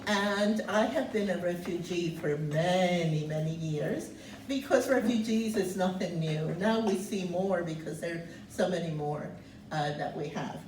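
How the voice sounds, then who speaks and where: A woman in her seventies from Cuba, speaking loudly and earnestly as she tells her life story, pausing on many fillers. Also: lowish voice, a little hiss or hum. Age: 70s. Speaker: guest speaker sharing her refugee story. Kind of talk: personal testimony talk. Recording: roomy, distant mic, faint ambience.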